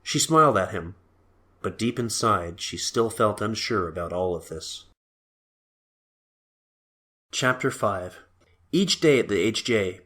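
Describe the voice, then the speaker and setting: A man's voice: on the low side, a bit bright. A man reading scripted story narration for an audio drama.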